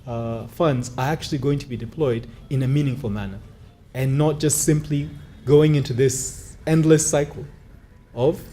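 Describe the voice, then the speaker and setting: A man giving a formal conference speech, measured, with frequent fillers. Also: mid-range voice, mellow. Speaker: conference speaker. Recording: faint background noise.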